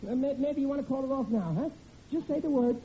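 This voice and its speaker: An actor playing a hapless, evasive working-class American groom in 1940s radio comedy style, stammering and stalling with folksy grammar. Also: male voice, very high voice, quick pace. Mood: nervous stalling. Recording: muffled, faintly noisy old radio recording.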